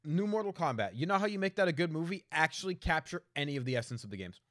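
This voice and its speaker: Male co-host, fairly high voice, conversational, blunt and sweary. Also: very animated, fast talker, fairly bright tone. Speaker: co-host of a film discussion show. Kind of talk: casual chat.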